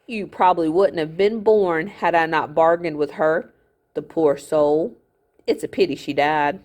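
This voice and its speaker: A woman's voice: deep voice, unhurried, a little mellow. A woman voicing a greedy, fat older miller in a storybook, a smug villain with a falsely genteel, gloating manner. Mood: smug, mocking.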